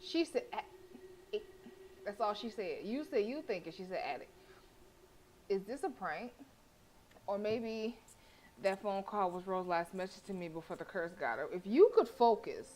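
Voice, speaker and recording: A woman's voice: medium pitch, lively intonation, unhurried, pauses often, darkish tone, slight background noise. A woman streamer reading a horror visual novel aloud, breaking off into joking, swearing asides. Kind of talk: reading game text aloud with asides to chat.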